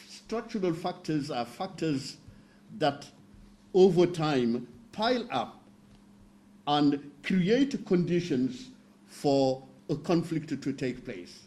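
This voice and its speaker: Panelist, male, from northern Senegal; measured explainer with a higher-pitched, mellow voice. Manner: measured, explanatory, with fillers and restarts. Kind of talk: roundtable talk.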